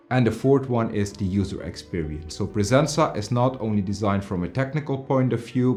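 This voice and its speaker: A man's voice: lowish voice, a little mellow. A man in his forties giving a semi-scripted product presentation to camera in an indoor studio.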